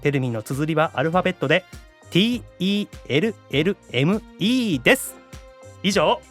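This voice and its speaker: A man's voice: on the high side, animated, normal speed, faint music behind. A man with a Kansai Japanese accent hosting a podcast with casual, playful banter, protesting in mock outrage and reading out listener messages. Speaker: podcast host and voice actor.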